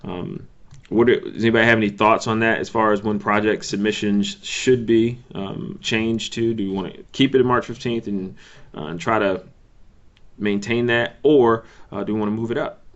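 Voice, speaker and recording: A man with a low voice runs a meeting by conference call. He speaks informally and haltingly, working out his points as he goes. The sound is dull and lo-fi. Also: fairly even intonation. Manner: halting, hedging, thinking aloud.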